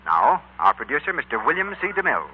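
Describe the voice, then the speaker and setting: Husky male vintage radio announcer. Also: fairly high pitch, sing-song, fast. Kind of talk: scripted 1940s radio sales pitch. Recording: dull old 1940s radio broadcast.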